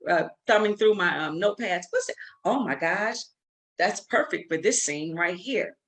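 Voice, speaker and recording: A woman's voice: fairly low pitch, lively intonation, normal speed, fairly bright tone. A woman giving conversational interview answers as a guest, speaking emphatically, repeating phrases and acting out her own thoughts. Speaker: guest, playwright.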